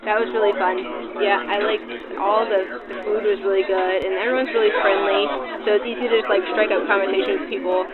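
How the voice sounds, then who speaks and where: A man's voice: high-pitched, steady tone, fast talker, hardly any pauses. A man, a passenger, chatting casually in an interview through a vintage radio filter. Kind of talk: casual chat. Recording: tinny radio-like sound, loud crowd.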